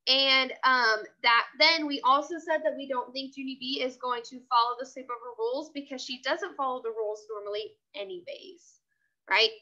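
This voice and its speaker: A woman teacher reading a children's chapter book aloud in an expressive, instructional way. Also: high voice, normal speed, muffled recording. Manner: expressive, instructional, checking in with listeners.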